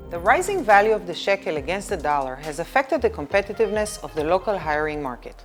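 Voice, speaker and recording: A woman's voice: fairly low pitch, big pitch swings, fast. A woman explaining a market trend in a scripted delivery. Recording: faint music underneath.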